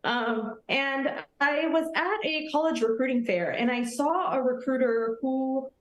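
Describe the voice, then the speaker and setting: A woman's voice: high voice, a little slow, echoey room, rough recording. A woman in her thirties from Nepal, an Army officer answering questions in an earnest, friendly way on a morning TV show. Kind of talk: morning TV interview.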